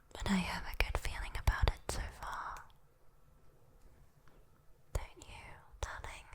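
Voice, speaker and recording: A British woman playing a sweet, teasing, possessive female demon in a breathy, intimate ASMR roleplay whisper. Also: fairly high pitch, a little mellow. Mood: tender, possessive.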